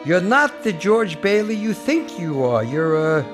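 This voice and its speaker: Eager old-fashioned angel,, fairly high voice, radio play. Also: male voice, sing-song, unhurried, soft background music. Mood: gentle. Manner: quaint, eager, genteel. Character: gentle, fussy, old-fashioned male angel. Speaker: radio actor. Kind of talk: 1940s radio acting.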